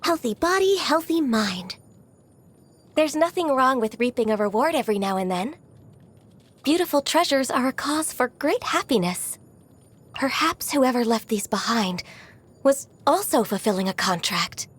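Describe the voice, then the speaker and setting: A shy, dutiful young female secretary who is part mythical beast, in a video game. The voice is high and girlish. The manner is polite, formal and earnest, with a gentle, apologetic softness. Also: animated, moderate pace, breathy edge. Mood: urgent, battle cries, then calm, pleased. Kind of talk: video game character voice lines.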